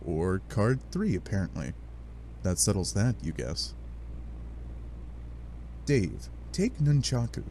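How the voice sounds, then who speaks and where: A man with a fairly low voice narrates a comic adventure in an animated, sardonic way. His pitch swings widely between dry statements and dramatic asides. A light but steady noise sits behind him. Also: slow pace, long pauses. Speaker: narrator of a fan dub read-along.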